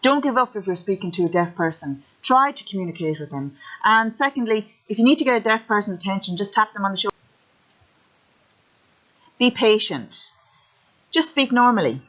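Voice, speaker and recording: An Irish woman speaks in a plain, measured way, telling her own story in the first person. Her voice sits at an ordinary pitch. The audio is dull and lo-fi. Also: expressive, a bit bright.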